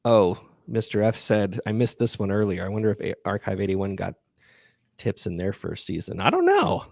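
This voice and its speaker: Male podcast host, low gravelly voice, close dry sound, halting and unassuming in casual Q&A. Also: steady tone, a little mellow, no echo. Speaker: podcast creator and livestream host. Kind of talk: casual chat, answering listener questions.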